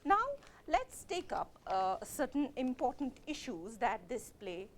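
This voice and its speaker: A woman's voice: on the high side, big pitch swings, unhurried, a little hiss or hum. A woman with a Kashmiri accent giving a literature lecture as a college lecturer, explaining steadily with frequent fillers and self-corrections.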